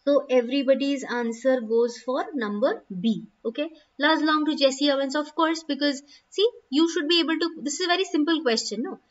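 A woman's voice: high-pitched, normal speed. A young woman teaching an English literature class online, patiently going over quiz answers and calling on students by name. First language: not Hindi. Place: small room at home. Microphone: laptop webcam mic. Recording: dull, distant laptop audio.

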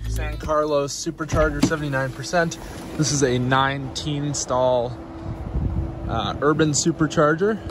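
A man's voice: a little high, fairly even intonation, measured pace, over loud music. A young American man narrating a casual road-trip vlog in a matter-of-fact way. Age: young adult. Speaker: vlogger. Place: in a car and outdoor parking lots. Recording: noisy car and outdoor ambience.

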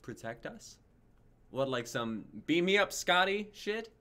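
A man's voice: high voice, very animated, unhurried, pushed and bright, exaggerated voice. A man in his twenties playing a panicked, baffled pet owner, agitated and incredulous, in a dramatic script reading. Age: 20s.

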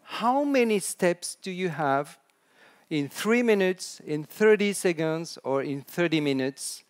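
A middle-aged man with a French accent giving an animated conference talk to an audience, chatty and now and then restarting his sentences. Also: high voice, slow pace. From France. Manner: animated, chatty, laughs at own jokes, some hesitant restarts. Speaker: conference speaker. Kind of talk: keynote talk on storytelling. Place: large indoor conference stage.

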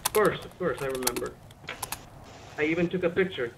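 A man with a somewhat high voice role-plays a medic in an online game. He is casual and a little wry. The voice chat has noticeable noise. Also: brisk, vocal fry. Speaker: roleplay gamer playing a medic. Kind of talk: improvised in-character game roleplay chat. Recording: noisy gaming voice chat.

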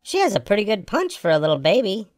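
A man's voice: sits high, wildly swooping pitch, fast, dry recording, studio quality, voice pushed up. A man voicing a small, eager boy bear cub in a storybook, childlike and questioning. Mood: impressed.

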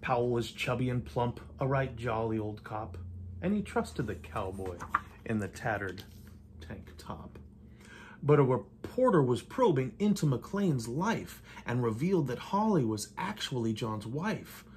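An older man reads a rhymed picture book aloud in a living room, with measured, theatrical delivery and playful emphasis. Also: mid-range voice, big pitch swings, a little mellow. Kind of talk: rhymed storybook read-aloud. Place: indoors in a home living room. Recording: light room noise.

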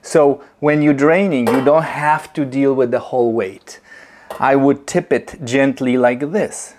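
A middle-aged man gives a slow, deliberate kitchen lesson, demonstrating as he talks. His voice sits at an ordinary pitch. He is picked up by a camera across a home kitchen, with a faint hiss underneath. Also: steady tone, a little mellow. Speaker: movement teacher. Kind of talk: instructional demonstration.

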